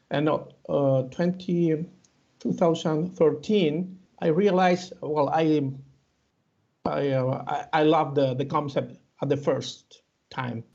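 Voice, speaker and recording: Interview guest, male, Cuban Spanish accent, slow and halting, raised pitch, gravelly, dull lo-fi sound. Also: darkish tone. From Cuba. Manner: halting, many fillers and restarts. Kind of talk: hesitant conversational interview answers. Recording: dull lo-fi audio.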